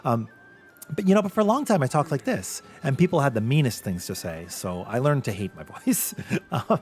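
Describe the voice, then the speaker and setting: A man with a light voice, often taken for a girl's, chatting casually with viewers as a VTuber streamer, animated, with quick laughs. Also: mid-range voice, faint music behind. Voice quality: light voice he says is mistaken for a girl's. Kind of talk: casual livestream chat with viewers.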